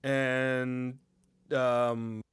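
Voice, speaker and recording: A man hosting a casual podcast chat, relaxed, often chiming in briefly. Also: mid-range voice, extremely slow, clean tone. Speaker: podcast host.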